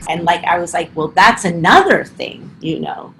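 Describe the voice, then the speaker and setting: A woman's voice: fairly low pitch, slight background noise, low-quality recording. A podcast guest from Argentina, a woman with a slight Argentine Spanish accent, chatting casually and acting out her own past thoughts. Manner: animated, quick, acts out her own past thoughts in quotes. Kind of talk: casual interview chat.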